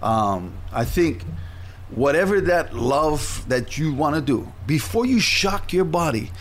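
A man's voice: fairly high pitch, big pitch swings, takes it slowly. A middle-aged man, chatting casually and enthusiastically about training with his coach, rambling with restarts. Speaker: client sharing his experience. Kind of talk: casual chat.

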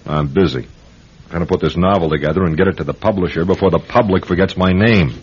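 An actor playing a rude, scheming and obsessive famous writer in a classic radio drama, brusque and domineering. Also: male voice, deep voice, fast talker, darkish tone, slightly rough. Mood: irritable. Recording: muffled old radio broadcast.

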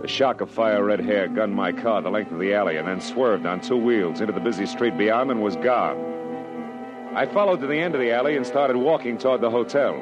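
A man's voice: fairly low pitch, a little fast, lightly acted. An actor playing a wisecracking hardboiled private detective in a classic radio drama, speaking and narrating in wry, slangy tough-guy style. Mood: tense narration. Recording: dull old radio recording with music behind.

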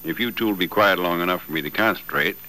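A man's voice: low-pitched, fast talker, sharp tone. A man acting a modest, wry builder in an old-time radio drama, folksy, dry and musing, on a vintage broadcast recording. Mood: wry, deliberate. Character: modest, wry builder in a wheelchair, skeptical and teasing.

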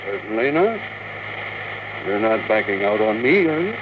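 An actor playing a stubborn, commanding older tycoon obsessed with the moon in a classic radio drama. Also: male voice, on the low side, very animated, moderate pace. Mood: businesslike. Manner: insistent, commanding. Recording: thin, noisy old radio broadcast.

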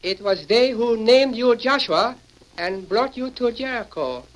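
A man's voice: very high-pitched, expressive, measured pace. An actor playing a humble, courteous Chinese man in an old-time radio Western, speaking with formal, ornate and solemn phrasing. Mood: gently wise. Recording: faintly noisy old radio recording.

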